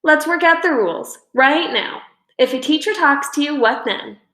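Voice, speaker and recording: A woman reading a children's storybook, voicing a proud, stubborn schoolgirl who talks in a sharp, scornful, bossy way. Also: high-pitched, lively intonation, normal speed, voice pushed up. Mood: brisk, challenging. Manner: sharp, challenging. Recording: roomy indoor echo.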